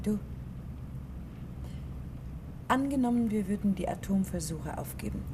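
A woman's voice: medium pitch, animated, brisk, natural voice. Actress as a determined woman, noisy recording. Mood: curious. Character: determined, caring woman, inquisitive companion of a scientist. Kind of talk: German radio play acting. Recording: radio play with clear background noise.

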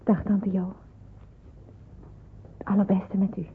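An actress playing a polite, timid young woman hiding in wartime, hesitant and soft-spoken, in a radio drama. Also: medium pitch, flat delivery, takes it slowly, breathy edge, heavily acted. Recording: muffled, phone-like old radio drama recording.

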